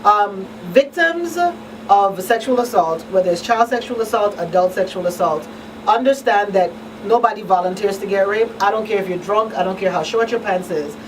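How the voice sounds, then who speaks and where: A woman's voice: medium pitch, normal speed. A woman hosting a talk show discussion, speaking emphatically and repeating phrases for weight. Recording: distant mic, faint background noise.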